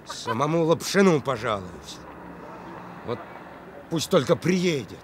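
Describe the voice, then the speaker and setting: A man's voice: medium pitch, very animated, takes it slowly, slight background noise. An actor playing an indignant, whining working man who has been robbed, exclaiming and complaining in a radio play. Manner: exclamatory, complaining, repeats a questioning "eh?".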